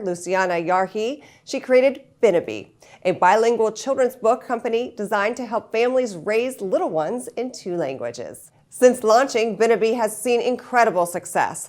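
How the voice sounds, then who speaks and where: Woman host, mid pitch, upbeat, affirming, interview questions. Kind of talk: scripted intro and interviewing.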